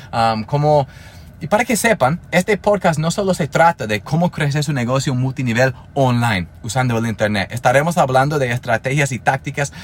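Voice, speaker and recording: A man's voice: mid-range voice, a little hiss or hum. A man in his thirties, a native English speaker talking in Spanish, hosting a solo podcast in an earnest, motivational way with frequent restarts. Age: 30s. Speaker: podcast host.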